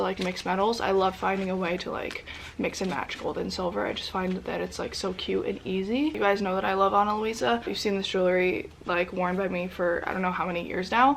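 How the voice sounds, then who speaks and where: A woman's voice: medium pitch, creaky, a little hiss or hum. A young woman chatting in a home vlog, sniffly and congested, rambling in a bubbly, casual way. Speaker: lifestyle vlogger. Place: indoors at family home.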